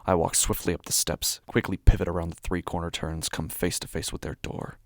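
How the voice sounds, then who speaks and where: A man performs an anxious, obsessive male narrator in a horror audio drama. The voice sits very low with a heavy creak, often breathy and close to a whisper. The delivery is intense and brooding, over faint background noise. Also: level tone, brisk. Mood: tense, brooding. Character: anxious, obsessive male apartment dweller fixated on a door.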